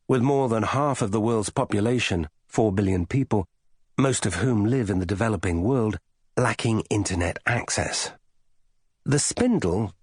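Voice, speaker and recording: A man reads non-fiction as a scripted audiobook narrator. His voice sits fairly low with a husky grain. The delivery is measured and formal, each phrase carefully set out, in a very dry, close recording. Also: darkish tone, no room sound.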